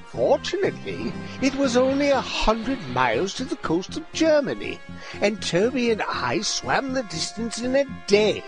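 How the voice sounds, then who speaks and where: Man acting pompous detective, theatrical radio-comedy narration, unhurried delivery, music underneath. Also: high voice, sing-song, rough recording. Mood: deadpan recounting. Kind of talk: comic radio play narration.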